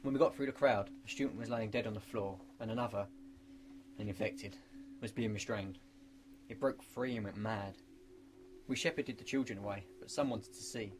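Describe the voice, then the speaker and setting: A man's voice: lowish voice, normal speed, noisy background, lightly acted. A man playing a level-headed, earnest teacher turned apocalypse survivor in a radio drama. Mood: sombre. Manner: earnest, encouraging.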